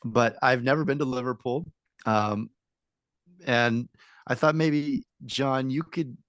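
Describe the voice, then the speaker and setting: A man's voice: mid-range voice, slow pace, a bit bright, no echo. A man hosting an interview from California, talking in a loose conversational way with many filler words. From United States (California). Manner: conversational, frequent ums and uhs. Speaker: podcast host. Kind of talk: casual interview chat.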